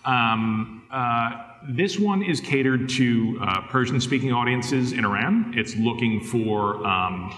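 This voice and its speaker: A man in his thirties talks through his slides from a podium in a large conference hall. His delivery is casual and loose, with plenty of ums and uhs. His voice sits at a middle pitch and comes across bright and forceful. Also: expressive, slow, few pauses. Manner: casual, many fillers, asks for next slide. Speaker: conference speaker. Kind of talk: conference presentation.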